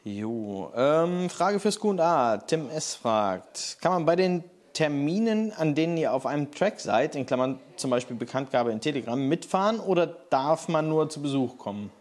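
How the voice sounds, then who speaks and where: A man's voice: fairly high pitch, expressive, moderate pace, hardly any pauses. A man co-hosting a casual chat, reading out viewer questions and chiming in with short agreeing replies. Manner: reads questions aloud, short agreeing interjections.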